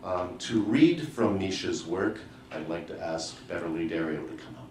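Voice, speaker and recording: A man with a fairly low voice introduces a reader informally, in halting phrases. He sounds away from the microphone in a very roomy venue. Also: darkish tone. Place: live book launch in an indoor venue. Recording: echoey live room, distant mic, faint noise.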